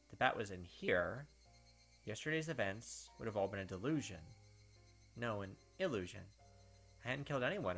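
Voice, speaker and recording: A man's voice: lowish voice, a bit bright. A man hosting a let's play, reading visual novel narration aloud and adding casual commentary. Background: game music.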